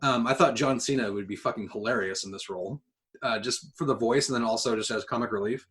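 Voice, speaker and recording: A man with a mid-pitched voice talks as a podcast co-host. His delivery is casual and conversational, full of firm opinions and easy swearing. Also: quick pace, fairly bright tone. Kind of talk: casual podcast chat about films and games.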